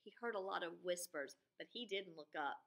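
A woman's voice: fairly low pitch, a little fast, creaky. A woman in her thirties or forties reading to children as a teacher, expressively explaining and posing questions. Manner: expressive, asks the listeners questions. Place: small classroom or school room, indoors.

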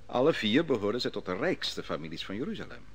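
A man's voice: on the low side, sing-song. An actor playing a grown exile who solemnly recounts his youth at a foreign king's court in an old radio play. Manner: measured, solemn storytelling. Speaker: narrating prophet. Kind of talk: 1950s Dutch radio drama acting.

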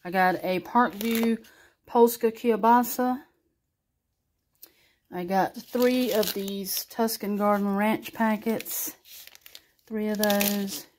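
A woman's voice: medium pitch, steady tone, takes it slowly, long pauses, a little mellow, slight background noise. A woman showing her groceries at her kitchen table, chatty and homey, filmed close on a phone. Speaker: grocery haul vlogger. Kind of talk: casual show-and-tell narration. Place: kitchen table at home, indoors.

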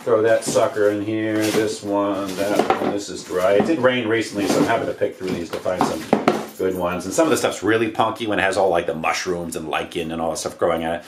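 American male DIY presenter, low-pitched, casual and rambling, recorded in an echoey living room. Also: steady tone, brisk. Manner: offhand, slangy, rambling. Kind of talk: casual hands-on how-to demonstration. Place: indoors, living room by wood stove. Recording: roomy echo, noise of handled objects.

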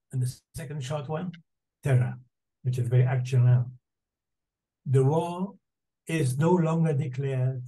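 An older man reading poetry aloud in a deliberate, husky voice on a video call. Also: medium pitch, warm tone, poor audio. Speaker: poet. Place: book-lined room indoors. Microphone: video call, close to computer.

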